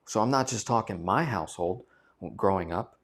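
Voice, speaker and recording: Male finance coach, fairly low voice, emphatic, repeats words to drive points home. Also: moderate pace. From United States. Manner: emphatic, repeats phrases for stress. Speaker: finance coach and channel host. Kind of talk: direct-to-camera talk.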